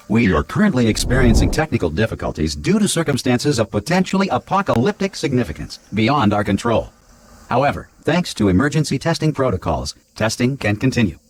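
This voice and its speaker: An actor with an American accent playing a cheerfully formal automated facility announcer in a game, reading polished, unfazed public-address messages. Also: male voice, mid-range voice, sing-song, brisk, a little hiss or hum, close to an everyday voice. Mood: formal, upbeat.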